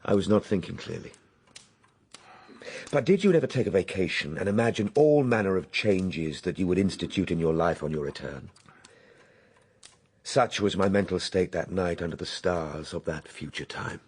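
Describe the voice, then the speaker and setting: An actor playing a reflective Victorian gentleman recounting his ordeal, in measured, formal radio drama narration. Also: low voice, expressive, breathy edge, heavily acted. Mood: reflective, regretful.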